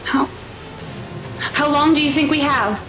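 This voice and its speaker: A woman performs a guilt-ridden mother afraid for her son, her voice pitched high. She is emotional and pleading in audio drama style, with prominent music underneath. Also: unhurried, low-quality recording. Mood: anxious.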